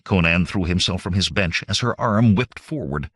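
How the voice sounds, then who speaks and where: A man reading adventure fiction aloud as an audiobook narrator, in measured, expressive storytelling. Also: low-pitched, breathy edge, no room sound, studio quality.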